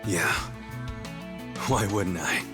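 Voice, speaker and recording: A man playing a brash, warm-hearted yakuza hero in a video game dub, earnest, informal and emotional. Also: deep voice, extremely slow, over music. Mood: sincere, grateful.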